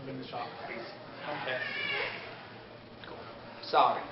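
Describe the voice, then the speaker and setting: A man giving an informal fan panel lecture at a convention, a bit halting and given to joking asides. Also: medium pitch, steady tone. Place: indoor convention panel room. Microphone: distant camera mic. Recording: echoey convention room, distant muffled camera mic, crowd. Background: audience crowd noise.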